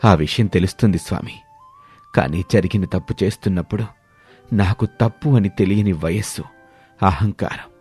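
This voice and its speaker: A man voicing a humbled, gentle ageing village father who speaks softly and patiently in a moral story. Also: low voice, moderate pace, pauses often, husky, faint music behind. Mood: anxious, remorseful. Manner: soft, patient, pleading.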